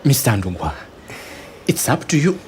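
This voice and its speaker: A man with a Ugandan accent playing a patient, firm doctor in a radio drama, speaking in a measured, insistent, earnest way. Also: medium pitch, big pitch swings, slight background noise, lightly acted. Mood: earnest, persuading. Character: patient, firm male doctor counselling a husband.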